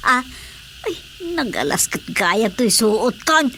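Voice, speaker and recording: A woman playing an excitable, scolding comic villager in a Filipino radio drama. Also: a little high, slow pace, a little hiss or hum, full character voice. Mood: excited surprise.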